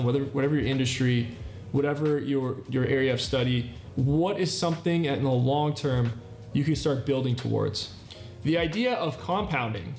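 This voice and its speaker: A man's voice: mid-range voice, a little mellow. A man in his thirties hosting a self-improvement talk, explaining in an earnest way with repeated list-like phrasing. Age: 30s. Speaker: channel host. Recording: echoey room with faint music. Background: faint background music.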